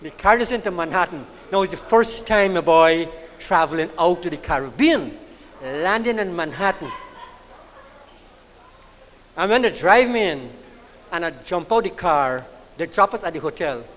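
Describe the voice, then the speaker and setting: Guyanese comedian with a high voice. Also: male voice, animated, moderate pace, long pauses, fairly bright tone, in a large hall, slight background noise. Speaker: stand-up comedian. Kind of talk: live stand-up storytelling. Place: live comedy venue with an audience.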